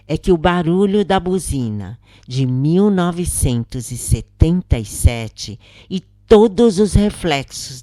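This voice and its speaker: A Brazilian woman reading a scripted spiritual account as narrator, in a solemn, measured manner. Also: very deep voice, warm tone, no echo, studio quality.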